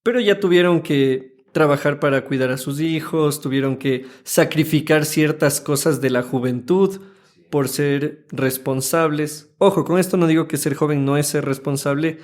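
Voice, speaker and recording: Ecuadorian man, 30s, podcast co-host; animated, playful, slightly higher voice. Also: moderate pace. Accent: Ecuadorian Spanish. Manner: animated, chatty, playful teasing. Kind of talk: casual podcast chat.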